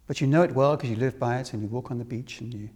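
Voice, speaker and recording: A man from Wales giving a spiritual discourse to a live audience as the teacher, speaking deliberately with repeated phrases and rhetorical questions. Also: medium pitch, a little mellow, husky. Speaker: spiritual teacher leading a talk. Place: reverberant room with an audience. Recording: long hall reverb.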